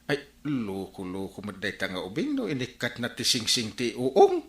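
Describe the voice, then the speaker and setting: A man playing a comical, excitable village captain full of exclamations in an Ilocano radio comedy drama. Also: fairly low pitch, normal speed, heavily acted. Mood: incredulous. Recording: echoing radio drama, birdsong behind.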